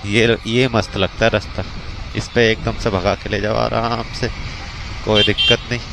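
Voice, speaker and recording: A man's voice: lowish voice, normal speed, creaky. A man with a North Indian accent chatting casually in a daily vlog, informal and repeating his points as he goes. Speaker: vlogger and bike taxi rider. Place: outdoors on city roads and worksite. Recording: steady outdoor traffic and vehicle noise.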